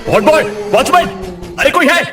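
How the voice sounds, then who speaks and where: A terrified doctor in a horror cartoon, pleading in a very high, swooping voice. He shouts in shrill panic, with loud music underneath. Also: animated, fairly quick. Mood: panicked pleading. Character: terrified male doctor begging for his life.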